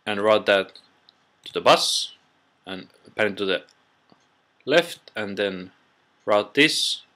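A man narrating an informal software tutorial in a halting, step-by-step way, recorded on a built-in computer microphone. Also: fairly low pitch, lively intonation. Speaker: tutorial presenter.

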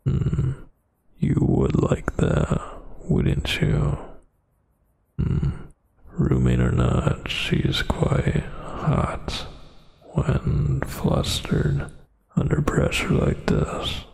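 A man performing intimate boyfriend ASMR as a huge, dominant, teasing roommate, in a breathy, gravelly half-whisper close to the mic. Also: mid-range voice, huge pitch swings, a little mellow, no room sound. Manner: slow, teasing, dominant murmur. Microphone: close microphone.

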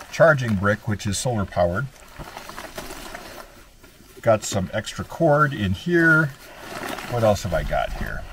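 A man's voice: medium pitch, a little hiss or hum. An older man outdoors on a desert trail, casually showing the gear in his day pack one item at a time, recorded by a camera a short distance away. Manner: relaxed, listing gear item by item. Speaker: hiking vlogger. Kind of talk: casual show-and-tell. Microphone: camera some distance away.

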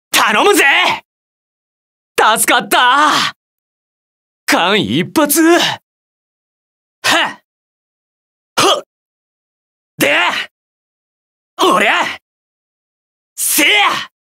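Young armored game hero, bright forceful voice, hot-blooded, earnest, widely swinging pitch. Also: male voice, exaggerated voice. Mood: battle shouts. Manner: earnest, impassioned, emphatic delivery. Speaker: video game voice actor. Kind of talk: video game hero battle voice lines.

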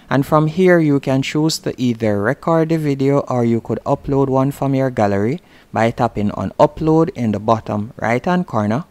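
A man's voice: mid-range voice, darkish tone. A man presenting a how-to tutorial in scripted narration, giving plain step-by-step instructions.

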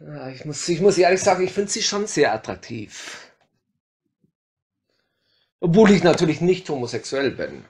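A man's voice: on the high side, big pitch swings, moderate pace, long pauses, a little mellow. A man playing a stammering, hesitant painter. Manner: hesitant, stammering with many fillers. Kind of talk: amateur comedy crime radio play.

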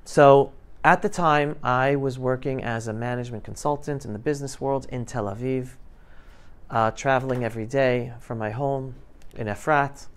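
A man of about fifty giving an informal, emphatic talk to high school students, putting questions to them. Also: normal speed, smooth. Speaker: guest speaker. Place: classroom, indoors. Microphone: lapel mic.

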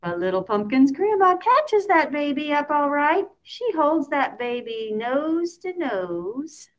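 A woman reads a story for small children in a fairly high voice. Her delivery is expressive and sing-song, stretching out the important words. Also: takes it slowly. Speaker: picture book reader.

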